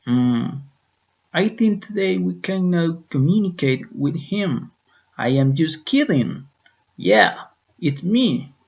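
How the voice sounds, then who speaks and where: A man playing the spirit of a dead old architect in a scripted interview, answering plainly and haltingly. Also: a little high, sing-song, gravelly, full character voice. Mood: dry, teasing. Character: spirit of a dead elderly male European architect. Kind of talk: scripted interview role-play in English. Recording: dull lo-fi recording.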